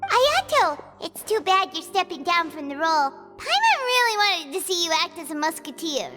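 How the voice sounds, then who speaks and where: Paimon, a shrill chirpy fairy companion. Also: female voice, sing-song, moderate pace, pushed and bright, in a large hall, faint music behind, full character voice. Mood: teasing. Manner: perky, teasing, speaks of herself by name.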